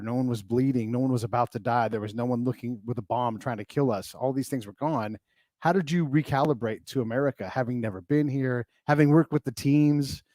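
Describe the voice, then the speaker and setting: A man hosting an interview, asking animated, searching questions. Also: medium pitch, no echo. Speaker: podcast host. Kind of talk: interview conversation.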